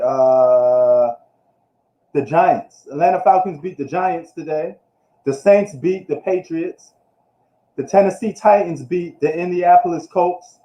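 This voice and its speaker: A man from New York giving a casual, slangy live recap of the football week, ranting loosely as he goes. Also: fairly high pitch. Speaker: sports streamer. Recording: echoey room, dull lo-fi live stream.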